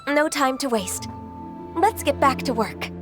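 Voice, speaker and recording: A gentle female secretary of divine blood speaks softly and earnestly. Her voice sits a little high. Clear game music plays beneath her. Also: animated, a little slow. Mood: resolute. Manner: soft-spoken and earnest. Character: gentle dutiful female half-divine secretary, centuries old. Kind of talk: video game voice acting.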